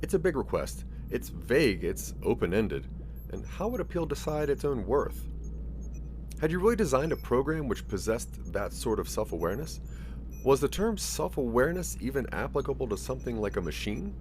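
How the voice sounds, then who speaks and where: A man's voice: medium pitch, big pitch swings, normal speed, a little mellow, slight background noise. A man narrating a scripted interactive audio story in the second person, with a theatrical storytelling delivery.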